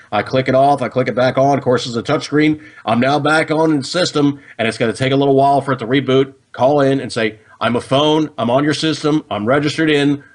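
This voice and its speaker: A man's voice: medium pitch, a bit bright. An American man with a mid-range voice talks to his viewers as the host of a hobby show. He explains things casually and off the cuff. His manner is folksy and chatty, full of slang and little check-ins with the audience.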